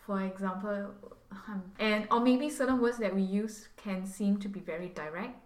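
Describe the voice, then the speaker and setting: A woman's voice: mid-range voice, moderate pace. Singaporean woman, thoughtful, roomy sound. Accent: Singaporean English. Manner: thoughtful, explains carefully with examples. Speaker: pastor and seminary student. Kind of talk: reflective conversational podcast guest.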